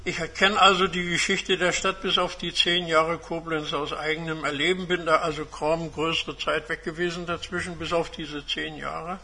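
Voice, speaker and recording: An elderly man in his mid-seventies speaks with a strikingly bright, forceful voice. It sits high for a man. He addresses a seminar formally and in measured phrases, recounting his own life. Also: little pitch movement. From Germany. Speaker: guest speaker, retired engineer and academic. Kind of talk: autobiographical talk to a university seminar. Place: seminar room.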